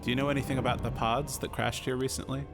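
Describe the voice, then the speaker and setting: A man's voice: medium pitch, flat delivery, fast talker. Casual male game voice-over over music. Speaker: player voicing the game hero.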